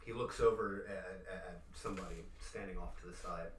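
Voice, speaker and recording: A man's voice: low-pitched, steady tone, a little fast, a bit bright. A man narrating a tabletop game as game master, improvising descriptions of the scene. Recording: roomy, distant mic with faint noise.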